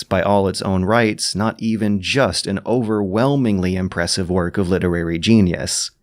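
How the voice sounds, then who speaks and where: A man narrates from a script in a fairly low voice. His delivery is measured and literary, like an audiobook narrator who also gives commentary. He phrases things with clear emphasis.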